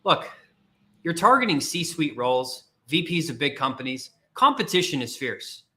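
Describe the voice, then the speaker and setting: Emphatic, bright-voiced male career coach. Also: on the high side, very animated, normal speed. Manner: emphatic and repetitive, challenging the listener directly. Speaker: podcast host and career coach. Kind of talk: motivational livestream talk.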